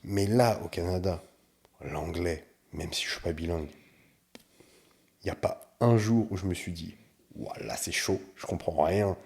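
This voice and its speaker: Guy on a podcast, lowish mellow voice, explains stuff with examples, pretty animated. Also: a little slow, creaky. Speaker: podcast guest, bodybuilder. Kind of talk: casual podcast chat.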